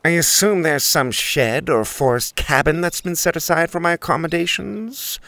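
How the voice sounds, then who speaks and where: A man's voice: on the high side, gravelly, bright, forceful tone, no echo, pitched above the performer's own voice. Man doing a snooty grumbling turkey. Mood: complaining. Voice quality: haughty, sneering, drawn-out delivery. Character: snooty grumpy male turkey, complaining, pompous.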